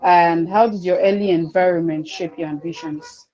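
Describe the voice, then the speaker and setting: Polite woman moderator, mellow voice. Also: on the low side, steady tone, moderate pace, lo-fi sound. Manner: polite and formal, reads out questions.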